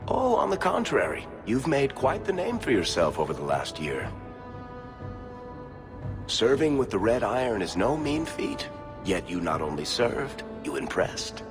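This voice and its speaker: An actor playing a roguish, smooth-talking male dwarf storyteller in a video game, with a wry, theatrical, persuasive way of talking. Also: mid-range voice, wildly swooping pitch, unhurried. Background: game music.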